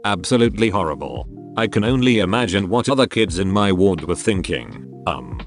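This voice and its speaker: A man's voice: low-pitched, sing-song, normal speed. A man reading out forum stories as narration over background music. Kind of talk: read-aloud narration.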